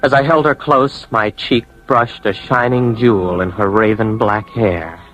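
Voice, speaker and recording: A man's voice: on the low side, steady tone, a little slow, fairly bright tone, soft background music, low-quality recording. An actor playing an earnest young advertising man in a radio drama, with an American accent, sometimes narrating his own story. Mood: brooding, dramatic. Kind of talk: old-time radio drama acting, first-person narration.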